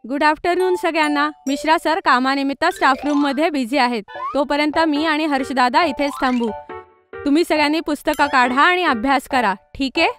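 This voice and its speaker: A woman's voice: high-pitched, brisk, fairly bright tone. A woman voicing a caring, confident older schoolgirl with a big-sister manner in a children's cartoon story. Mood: instructing. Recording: music behind the voice.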